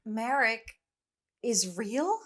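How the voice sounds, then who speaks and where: An actress playing a troubled young castaway woman, telling her story earnestly and with measured care in an audio drama. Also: a little high, animated, fairly bright tone, full character voice. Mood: earnest, searching. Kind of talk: dramatic first-person audio drama narration.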